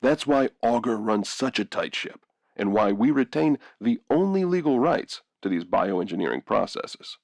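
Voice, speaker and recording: An actor playing a guarded male CEO giving measured, reassuring corporate spin in a TV interview. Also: mid-range voice, expressive, vocal fry, darkish tone, no echo. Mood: assured.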